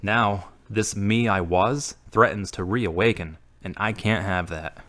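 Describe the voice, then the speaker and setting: A man's voice: lowish voice, unhurried, a bit bright. A man acting a brooding, earnest, troubled character in an audio drama. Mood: troubled, confiding.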